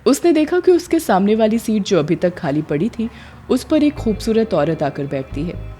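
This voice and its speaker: Woman reading a cartoon story, mellow lowish voice, light music under her. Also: sing-song, normal speed. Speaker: story narrator. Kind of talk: scripted cartoon story narration.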